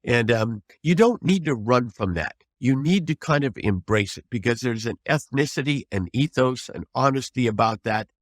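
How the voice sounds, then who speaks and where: A man's voice: mid-range voice, animated, moderate pace, hardly any pauses, no room sound, studio quality. An older man, a trial lawyer, giving advice as an interview guest in an earnest, emphatic, folksy way with rhetorical questions.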